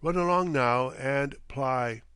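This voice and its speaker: A man voicing a gruff, mocking sea captain in an audiobook, with a Cockney accent, dropped aitches and a needling, sardonic way of teasing. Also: mid-range voice, slow pace, fairly bright tone, dry recording, studio quality. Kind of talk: audiobook character voice.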